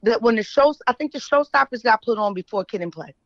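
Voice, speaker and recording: A woman's voice: a little high, quick pace, no echo. Woman, middle-aged, Philadelphia-New York accent, slangy storytelling, interview guest, dull close dry sound. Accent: Philadelphia mixed with New York. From West Philadelphia, United States. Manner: animated slangy storytelling, checks in with "right?". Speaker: radio show guest, writer. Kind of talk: casual interview chat, reminiscing. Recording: dull, muffled audio.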